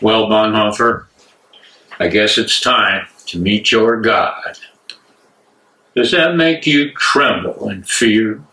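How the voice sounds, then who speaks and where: A man playing a bitter, cruel, gloating Nazi major in a dramatic stage reading, with a taunting, deliberate delivery. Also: fairly low pitch, bright, forceful tone, rough recording. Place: library room, live reading.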